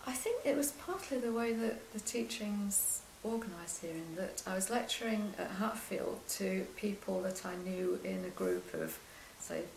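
An older woman with a quiet voice, recalling her career as a lecturer in an interview, soft-spoken and hesitant with many restarts. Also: mid-range voice, very animated, unhurried, vocal fry, hardly any pauses, reverberant, slight background noise, poor audio. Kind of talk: oral history interview.

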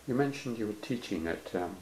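A man's voice: fairly low pitch, animated, brisk, a little mellow, roomy sound, a little hiss or hum, poor audio. A man interviewing someone about their career, murmuring frequent hmms and asking probing follow-up questions. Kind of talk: oral history interview.

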